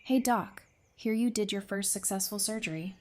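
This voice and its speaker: A woman's voice: medium pitch, normal speed, natural voice. A woman playing a tough, caring survivor with a familiar, teasing way of talking in a fan audio drama. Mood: caring, encouraging.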